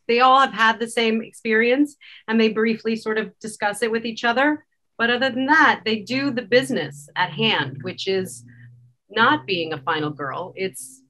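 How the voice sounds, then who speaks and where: A woman in her forties speaking thoughtfully and a bit haltingly as an interview guest on a video call. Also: mid-range voice, sharp tone. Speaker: podcast director, interview guest. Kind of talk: reflective conversational interview. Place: small indoor room, curtained.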